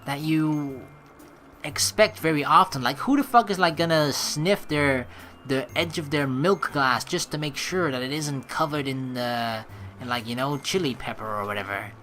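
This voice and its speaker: A chatty, sweary woman doing casual, expressive let's play commentary and reading narration aloud. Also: low-pitched, normal speed, a little hiss or hum. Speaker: let's player.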